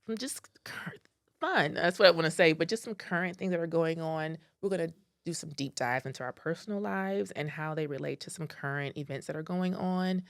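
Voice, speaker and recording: Brief: woman co-host with a very low voice, playful and upbeat, dry studio sound. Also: fairly quick, no echo. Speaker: podcast co-host. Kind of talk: casual podcast chat.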